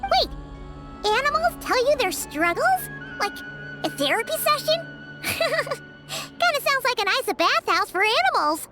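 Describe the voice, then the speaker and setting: A woman voicing a lively, curious young girl companion in a video game, chatty and playful. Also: extremely high pitch, unhurried, heavily acted. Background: music.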